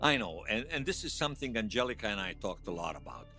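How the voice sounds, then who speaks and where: A man's voice: on the low side, very animated, quick pace, bright, forceful tone, soft background music. An actor playing a wry, folksy Bosnian man who tells his past in an audio drama interview. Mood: wry, animated. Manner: wry storytelling with colourful folk sayings. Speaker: storyteller being interviewed.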